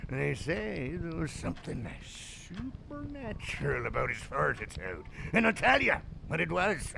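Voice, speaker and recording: A man's voice: fairly high pitch, sing-song, a little slow, crowd noise, full character voice, poor audio. An actor playing a drunk old seaman who spins grim tavern tales in a theatrical, ominous manner. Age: older. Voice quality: gravelly, creaky. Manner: theatrical, ominous, salty old-sailor phrasing. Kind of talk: theatrical horror audio drama.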